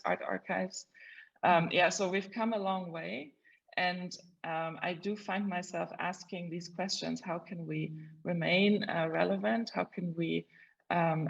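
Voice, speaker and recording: A woman speaking as a panelist and head of a cultural institution, measured and reflective, on slightly dull audio. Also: lowish voice, gravelly. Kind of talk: panel discussion remarks.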